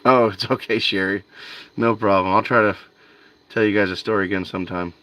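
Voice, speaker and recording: Low-voiced man chatting on a night livestream. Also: steady tone, a little fast, fairly bright tone. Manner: loose and chatty, talks to viewers by name, says "dude" and "man". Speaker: fishing livestream host. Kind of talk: casual livestream chat with viewers. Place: outdoors by a canal at night.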